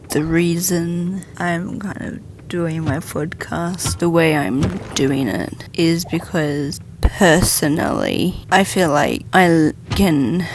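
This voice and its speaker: A woman's voice: fairly low pitch, noisy background. A woman hosting a podcast solo, chatting in a casual, rambling, informal way with a self-deprecating, joking streak. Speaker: podcast host.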